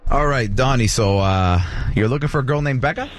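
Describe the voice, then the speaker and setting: A man hosting a morning radio show, chatting with a caller and reading ads in a lively, chatty way. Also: mid-range voice, fairly quick, faint music behind. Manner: lively, chatty, laughs easily. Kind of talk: radio show talk and ad reads. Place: radio studio.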